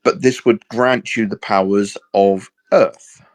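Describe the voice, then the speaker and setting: A man's voice: on the low side, steady tone, slow. A British man chatting casually with a co-host, rambling and hesitant, laughing often. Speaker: podcast co-host. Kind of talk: casual chat.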